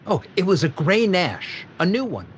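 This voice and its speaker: A man's voice: high-pitched, big pitch swings, moderate pace, a little hiss or hum. A man playing a stammering, shaken robbery victim. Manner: hesitant, stammering. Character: shaken middle-aged male factory owner robbed of payroll. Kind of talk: 1940s radio drama acting.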